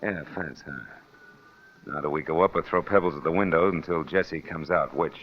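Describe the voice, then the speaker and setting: Casting: cynical wisecracking private eye, deep voice, old radio noir, muffled sound. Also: male voice, normal speed, a little hiss or hum. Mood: wry, cool. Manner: wry, clipped tough-guy slang. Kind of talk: old-time radio detective acting with first-person narration. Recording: muffled old radio recording with prominent music.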